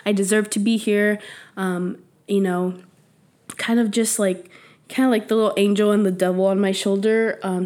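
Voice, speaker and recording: A woman's voice: medium pitch, a little mellow. A young woman whose first language is Spanish, a podcast guest telling stories in a chatty way with plenty of laughs and fillers. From Mexico. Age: young adult. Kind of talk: casual chat.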